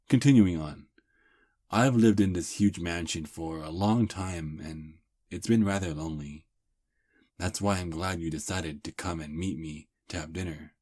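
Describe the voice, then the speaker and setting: Ancient vampire prince, played by a man: courtly seducer, breathy husky voice, slow intimate ASMR delivery. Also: low-pitched, darkish tone. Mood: awkward, amused. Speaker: ASMR roleplay performer. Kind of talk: intimate ASMR roleplay acting.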